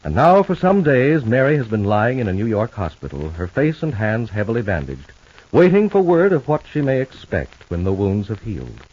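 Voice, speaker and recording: A man narrating a 1950s radio soap opera in a dramatic, deliberate announcer's style. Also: lowish voice, sing-song, a little mellow, slightly rough. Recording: dull, band-limited old radio recording.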